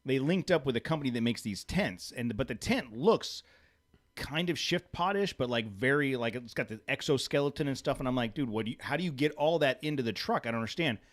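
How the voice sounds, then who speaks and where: A man chatting loosely as a podcast co-host, rambling and restarting his sentences. His pitch sits in a middle range, and the talk stays relaxed and unscripted. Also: fairly quick. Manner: rambling, restarts, swears casually. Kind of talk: casual chat.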